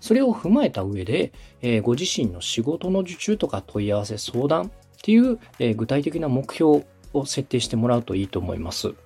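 A man's voice: medium pitch, sing-song, normal speed, creaky, few pauses, mellow, slightly rough, faint music behind. A man from Osaka hosting a podcast, coaching in a polite, methodical way as he explains things. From Osaka, Japan. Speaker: podcast host and radio director. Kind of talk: structured coaching conversation.

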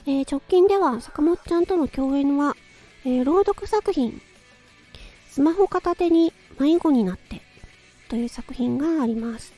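A woman presents a personal audio broadcast in a high, soft-toned voice with a mellow edge. She talks politely and effusively, leaning on words for emphasis, with faint music behind her. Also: a little slow. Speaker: host of a personal audio show. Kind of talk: chatty solo audio broadcast, birthday tribute.

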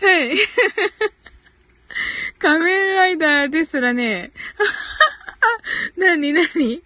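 A woman's voice: sits high, animated, extremely slow, sharp tone, no room sound. A woman hosting a casual live audio stream, giggly and thinking aloud as she reacts to listener comments.

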